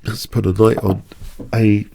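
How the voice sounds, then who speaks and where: Low gravelly man with a stuffy cold. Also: little pitch movement, measured pace, background noise. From not from the United States. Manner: hesitant, stammering restarts. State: congested with a cold. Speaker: chess streamer. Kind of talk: live blitz chess commentary.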